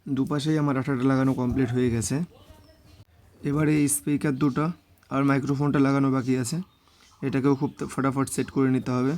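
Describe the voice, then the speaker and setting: A man's voice: medium pitch, flat delivery, brisk, darkish tone. A man narrating a vlog voice-over, mixing in English words. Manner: mixes English words into speech. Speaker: motorbike vlogger.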